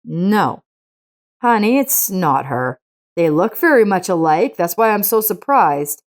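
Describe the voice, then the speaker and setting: A woman voicing a gentle, reassuring father in a narrated story, speaking in a soothing way. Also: on the low side, sing-song, unhurried.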